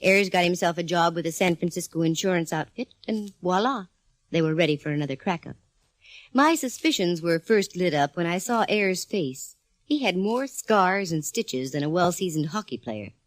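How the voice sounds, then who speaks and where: A woman's voice: lowish voice, expressive, moderate pace, no echo, natural voice. An actress playing a sassy woman private eye in a 1940s radio drama, breezy and wisecracking. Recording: old radio broadcast with faint organ music.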